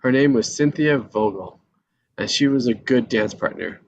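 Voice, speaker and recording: A man playing a discontented, self-pitying married man in his thirties in a live screenplay read, speaking casually and haltingly. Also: mid-range voice, animated, vocal fry, husky, muffled recording. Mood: wistful, teasing.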